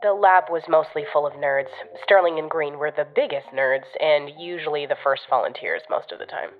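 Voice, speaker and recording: A woman's voice: deep voice, expressive, moderate pace, phone-quality sound, faint music behind. A woman playing a jaded agency operative in an audio drama, dry, sarcastic and casual, heard through a radio filter. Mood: explaining. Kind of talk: audio fiction podcast acting.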